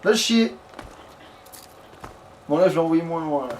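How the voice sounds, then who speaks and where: Guy doing a let's play, high voice, swears and grumbles, noisy game sounds behind. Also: lively intonation, a little slow, far from the mic. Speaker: let's player. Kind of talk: casual gaming commentary. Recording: clear background noise, game ambience.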